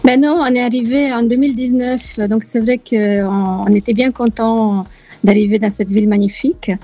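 A woman, a radio guest talking a little hesitantly, with pauses and fillers. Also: fairly high pitch, steady tone, warm tone. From Italy. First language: Italian. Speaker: interview guest. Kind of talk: radio interview.

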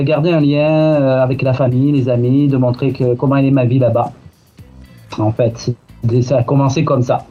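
Hesitant Marseille-born man, mellow tone. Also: medium pitch, highs cut off. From Marseille, France. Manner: hesitant, many restarts, laughs often. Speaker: guest. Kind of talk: interview answers.

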